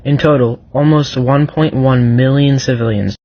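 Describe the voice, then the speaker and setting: A man's voice: mid-range voice, fairly even intonation, moderate pace. A man reading scripted history as a radio news bulletin. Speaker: newsreader. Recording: dull lo-fi sound.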